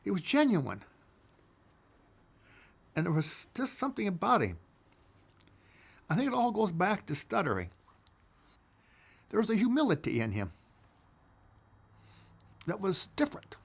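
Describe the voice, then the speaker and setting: An older man telling a personal story from his past, emphatic, swinging between loud declarations and hushed asides. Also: high voice, wildly swooping pitch, a little slow, creaky, long pauses, breathy edge.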